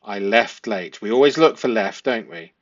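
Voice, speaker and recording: A man giving analytical commentary to viewers as a host, speaking emphatically and deliberately, often with rhetorical tag questions. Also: on the low side, expressive, lo-fi sound. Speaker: host analysing a police interview.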